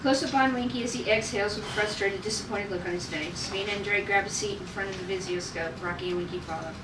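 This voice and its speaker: A woman's voice: mid-range voice, quick pace. A woman narrating stage directions from a script at a table read. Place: room at a group table read. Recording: echoey noisy room, far from mic.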